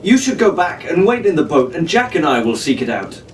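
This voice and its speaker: A proud, gallant young gentleman sailor with formal, condescending manners, acted by a man reading aloud. The storybook figure sounds set back from the mic in an echoey room. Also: fairly high pitch, sing-song, fairly quick, voice pushed up. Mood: cheerful, proper. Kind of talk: audiobook character voice. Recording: roomy, noisy, distant microphone.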